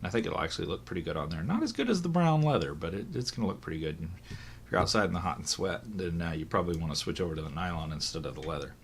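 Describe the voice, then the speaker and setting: A hoarse man, voice still recovering from illness, chatting casually through a product unboxing, thinking aloud with fillers and corrections. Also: deep voice, animated, racing, a little hiss or hum. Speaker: watch reviewer.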